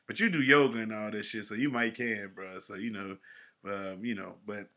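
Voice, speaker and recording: A man's voice: lowish voice, normal speed. Slangy laid-back man in his forties. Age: 40s. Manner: animated, slangy, trailing off quietly at phrase ends. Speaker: podcast co-host. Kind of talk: casual chat.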